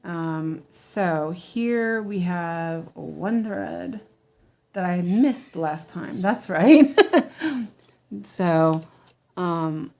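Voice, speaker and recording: Relaxed American woman, slow and rambling, chatting to viewers while she stitches. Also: fairly low pitch. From United States. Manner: rambling, chatty, frequent ums and restarts, laughs easily. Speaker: weaving teacher. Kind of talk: casual stitch-along chat.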